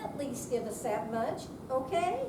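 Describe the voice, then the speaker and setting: A woman reads the part of a practical visiting therapist in readers theater. The voice is high and slow. The room echoes, with clear noise. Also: animated. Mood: firm. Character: brisk, practical visiting home therapist, female. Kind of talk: amateur readers theater stage reading. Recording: roomy, distant, noisy live room recording.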